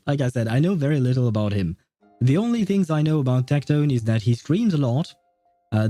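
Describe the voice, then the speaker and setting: A man talking casually to his viewers as a game livestreamer, in a halting, chuckly way. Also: mid-range voice, dark tone, no echo. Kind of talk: casual chat with stream viewers. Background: music.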